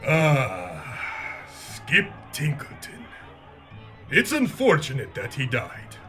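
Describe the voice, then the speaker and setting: A man's voice: mid-range voice, wildly swooping pitch, slow pace, pushed and bright, soft background music, full character voice. A man playing a flamboyant, gloating underworld boss with a grandiose, theatrical manner in a comic audio drama. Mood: ominous relish.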